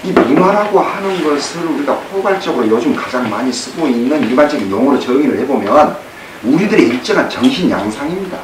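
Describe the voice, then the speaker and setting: A man lectures formally, explaining at a quick pace in a medium-pitched voice. He sounds distant, in an echoey space. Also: lively intonation. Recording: echoey, noisy, far from mic.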